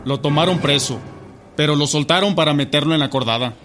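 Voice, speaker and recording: A man's voice: a little high, fairly quick, a bit bright, faint music behind, natural voice. An actor playing an opinionated townsman swapping local tales, with a Mexican accent.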